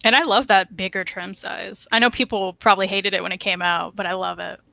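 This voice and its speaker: A woman's voice: medium pitch, steady tone, a little fast, vocal fry, bright, forceful tone, no room sound. A woman co-hosting a review show, chatting casually and informally with lots of enthusiasm. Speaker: co-host of a comic book review show. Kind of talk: casual unscripted chat.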